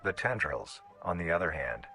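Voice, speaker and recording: Deep bright male documentary narrator. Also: very animated, normal speed, breathy edge. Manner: formal, clinical. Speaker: narrator of an analog horror video. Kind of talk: scripted documentary-style narration.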